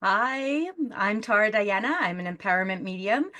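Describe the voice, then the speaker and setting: A woman's voice: mid-range voice, animated, moderate pace, pushed and bright. A woman from Canada co-hosting a video-call networking circle, explaining the rounds step by step. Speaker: co-host and medium. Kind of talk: casual group introductions.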